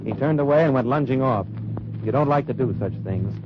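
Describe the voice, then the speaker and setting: An actor playing a tough wartime undercover agent, narrating in clipped, hard-boiled lines in an old radio drama. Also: male voice, medium pitch, fairly quick, loud music behind the voice, close to an everyday voice. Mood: grim. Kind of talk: 1950s radio drama acting. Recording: dull noisy old radio recording.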